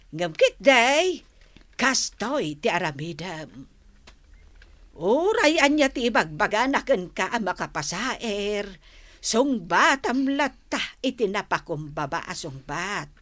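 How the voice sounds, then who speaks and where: Bright, motherly shopkeeper. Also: medium pitch, very animated, slow pace, dry recording. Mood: advising. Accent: Filipino. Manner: advising, emphatic. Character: motherly woman shopkeeper giving patient counsel on keeping peace. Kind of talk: comic radio drama acting.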